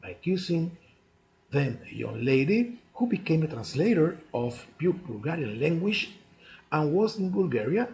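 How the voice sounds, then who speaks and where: A man in his sixties from Cuba, a native Spanish speaker with a Cuban accent, telling his life story in deliberate, halting non-native English. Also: a little high, big pitch swings, creaky, a little mellow. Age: 60s. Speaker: chess player narrating own life story. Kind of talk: autobiographical monologue.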